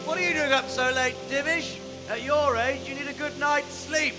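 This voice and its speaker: High-pitched, sardonic knight commander. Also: male voice, fairly even intonation, moderate pace, over loud music. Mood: sardonic, hearty. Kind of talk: dramatic video game dialogue.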